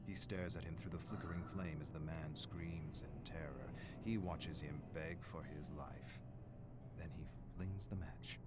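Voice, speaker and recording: An actor playing a grave, weary scholarly archivist with a morbid fascination, narrating dark game records in a deliberate, brooding way. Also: male voice, rumbling low, fairly even intonation. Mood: grim. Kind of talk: dramatic scripted game narration. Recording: clear music behind the voice.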